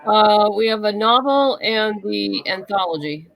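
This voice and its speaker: A woman's voice: medium pitch, expressive, measured pace, vocal fry, a bit bright, poor audio. A woman answering as an interview guest in casual chat, easygoing, modest and thoughtful.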